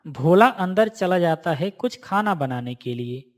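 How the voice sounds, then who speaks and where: Story narrator, medium pitch, slow pace, scripted cartoon moral tale. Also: animated.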